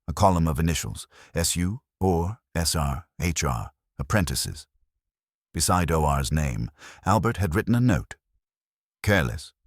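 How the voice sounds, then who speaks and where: A man's voice: rumbling low, no room sound, studio quality. A man narrating a scripted first-person detective story in a wry, hardboiled manner.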